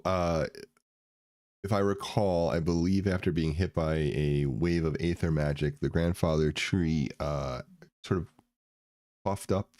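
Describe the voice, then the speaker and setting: A man running a tabletop game as game master, narrating with hesitant pauses and fillers. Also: low-pitched, darkish tone, no room sound, studio quality.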